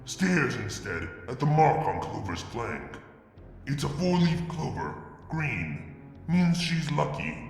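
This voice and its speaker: A man reading a fanfic aloud in a soft, halting first-person narration. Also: medium pitch, sing-song, creaky, roomy sound, in a large hall, soft background music. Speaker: fanfic reader. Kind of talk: dramatic story reading.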